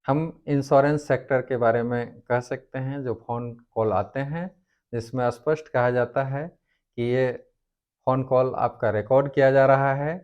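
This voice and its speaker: Male legal explainer, formal and deliberate, unhurried delivery in halting phrases, slightly muffled lo-fi sound. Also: medium pitch, darkish tone. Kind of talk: explanatory lecture on law.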